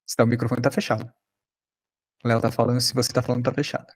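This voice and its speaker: A man's voice: mid-range voice, little pitch movement, rapid-fire, dry recording, clean studio sound. A Brazilian man teaching a podcast workshop in an informal, conversational way over an online video call.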